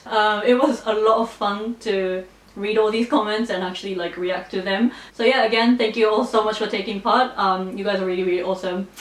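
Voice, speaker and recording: A young adult woman from Japan, a native Japanese speaker, chatting casually to camera as a vlogger with a playful, self-deprecating manner. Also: mid-range voice. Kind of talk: casual chat to camera, answering viewer comments. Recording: roomy, distant mic, faint background noise.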